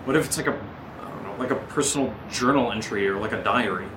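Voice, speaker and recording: Young man, played by an actor, lowish voice, flippant and jumpy, halting horror-drama delivery, roomy and clearly noisy sound. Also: lightly acted. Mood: speculating. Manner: casual, hesitant, halting. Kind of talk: naturalistic horror audio drama dialogue.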